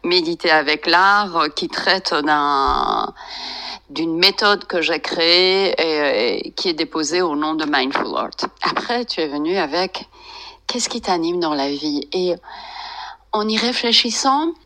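A woman speaks at a very unhurried pace, pausing often with soft fillers as she searches for words. Her voice sits a little low yet sounds bright and clear. Calm and reflective. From Iran, raised in France. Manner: slow, hesitant, many fillers. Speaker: author and meditation guest. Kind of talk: reflective interview answers.

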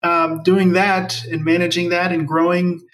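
A man's voice: sits high, flat delivery, a little slow, a bit bright. An American man in his forties, telling his personal story conversationally as an interview guest. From Maryland, United States. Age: 40s. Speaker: interview guest, nonprofit project leader. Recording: distant from the mic.

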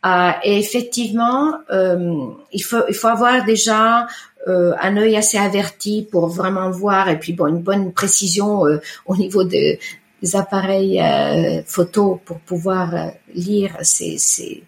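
A middle-aged woman whose first language is Italian, talking about her work as a guest in an interview, pausing often with fillers and restarts. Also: medium pitch, fairly even intonation, creaky. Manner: hesitant, many fillers and restarts. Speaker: iridologist guest. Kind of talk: conversational interview. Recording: roomy echo.

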